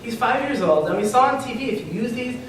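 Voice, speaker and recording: A woman's voice: a little high, animated, fast talker, a little hiss or hum, natural voice. A woman playing a naive, earnest eight-year-old boy in a stage reading. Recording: very echoey hall, distant microphone.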